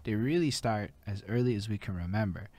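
Host, male, very low voice, rambling casual talk, lots of likes and ums. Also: a little fast, mellow. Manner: rambling, loose, filler-heavy. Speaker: podcast host. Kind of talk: casual interview chat.

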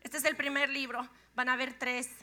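A middle-aged woman from Guatemala with a Guatemalan accent, giving a lively, joking talk to a church congregation. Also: high voice, normal speed. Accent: Guatemalan Spanish. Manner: lively and joking, laughs often. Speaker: guest speaker, pastor's wife.

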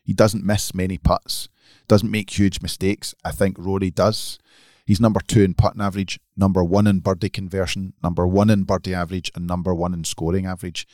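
A man's voice: deep voice, level tone, fairly quick, darkish tone, no room sound, clean studio sound. A man co-hosting a podcast, giving emphatic, opinionated golf picks in casual chat. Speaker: podcast co-host.

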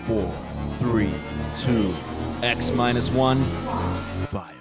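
An actor playing a grave, dramatic announcer of science fiction tales in a 1950s radio broadcast. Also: male voice, mid-range voice. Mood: grave, portentous. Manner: dramatic, deliberate. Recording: vintage radio broadcast with music. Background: dramatic music.

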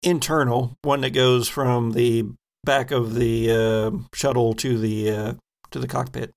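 A man acts as game master for a tabletop game. His mid-pitched voice moves between vivid scene description and practical questions to his players. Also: flat delivery, a little slow, creaky. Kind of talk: tabletop game narration and rules talk.

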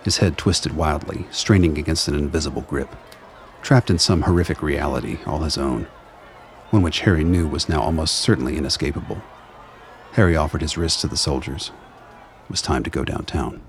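A man delivers scripted dramatic narration in an extremely low, gravelly voice. The storytelling is measured and ominous, with faint ambience underneath. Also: slight background noise. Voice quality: deep, gravelly.

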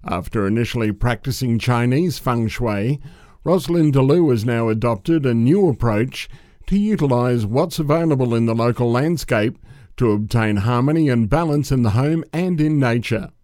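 A man hosting an interview podcast, introducing his guest and asking plain, measured questions. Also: mid-range voice, expressive, mellow, no echo, clean studio sound. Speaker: podcast host.

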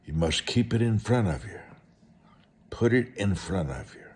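An American man preaching a motivational sermon and telling stories in a deliberate, emphatic way, repeating short phrases. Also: lowish voice, expressive, darkish tone.

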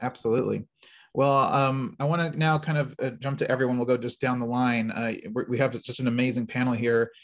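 A man's voice: medium pitch, steady tone, brisk. A man moderating a panel discussion, asking questions in an eager, upbeat way.

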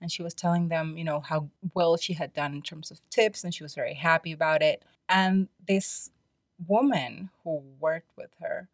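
A woman's voice: deep voice, steady tone, moderate pace, no room sound, clean studio sound. A woman hosting a podcast solo, talking in a conversational, explanatory and opinionated way. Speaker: podcast host.

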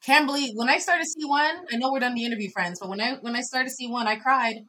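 A woman in her thirties speaking as a podcast guest in casual conversation, expressive and shifting between soft reflection and loud laughing energy. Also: high-pitched, fast talker, slight background noise, low-quality recording. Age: 30s.

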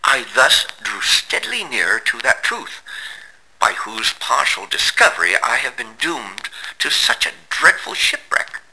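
A man playing a tormented, proud Victorian gentleman scientist, delivering an intense, grandiose confession as a gothic drama monologue. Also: mid-range voice, big pitch swings, unhurried, creaky, husky, heavily acted, poor audio. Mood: fervent anguish.

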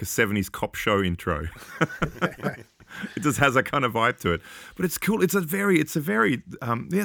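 A man with a low voice talking casually about an album, hesitant and laughing often as he goes. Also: vocal fry, a bit bright, no echo, studio quality. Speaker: podcast guest. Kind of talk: casual music discussion.

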